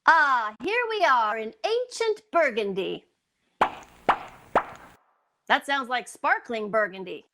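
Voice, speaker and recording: Woman doing silly arch damsel for an old radio ad skit, high sharp voice, lively. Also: slow, long pauses, full character voice. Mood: playful, arch. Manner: arch, mock-archaic. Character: playful sponsor-skit comedienne, mock-medieval damsel. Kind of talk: 1940s radio comedy commercial.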